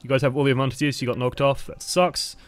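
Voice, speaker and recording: A man's voice: mid-range voice, little pitch movement, quick pace, dry recording. A man with a dry voice, giving casual, explanatory coaching commentary over gameplay footage. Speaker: game coach.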